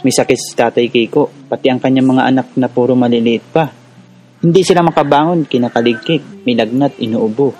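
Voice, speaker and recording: A man's voice: medium pitch, fairly even intonation, a little fast, darkish tone, close to an everyday voice, low-quality recording. An actor playing an earnest young Filipino village activist who narrates his own story in a radio drama. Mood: earnest storytelling. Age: young adult. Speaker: narrator and lead character. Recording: music under the voice.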